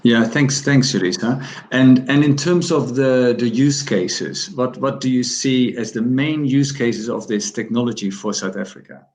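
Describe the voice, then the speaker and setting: A man's voice: mid-range voice, unhurried, hardly any pauses, darkish tone. A man moderating a panel discussion. He speaks politely and often repeats words as he starts his sentences.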